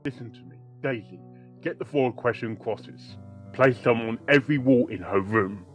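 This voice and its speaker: A man's voice: medium pitch, moderate pace, reverberant, soft background music, lightly acted. A man playing a determined male pony doctor and exorcist, commanding and dramatic, in a story reading. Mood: grim, commanding.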